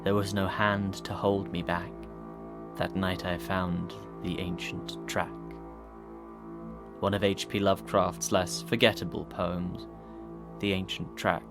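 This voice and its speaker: Low, slow, grave man. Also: little pitch movement, music in the background. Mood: grave, brooding. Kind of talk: audio drama acting, recitation.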